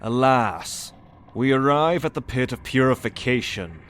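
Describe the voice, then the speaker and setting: Man playing a slow-spoken, menacing religious zealot. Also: medium pitch, animated, a bit bright. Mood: uneasy, resolute. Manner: grandiose, menacing, theatrical. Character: zealous sadistic male servant, fanatical executioner. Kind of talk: dramatic audio roleplay.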